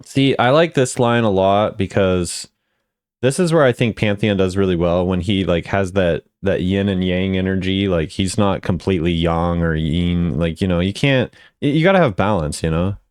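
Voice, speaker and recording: A man's voice: low-pitched, moderate pace, darkish tone, clean tone, dry recording, clean studio sound. A man giving offhand, casual commentary as a video creator, musing conversationally as he rates things. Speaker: video creator ranking game voice lines.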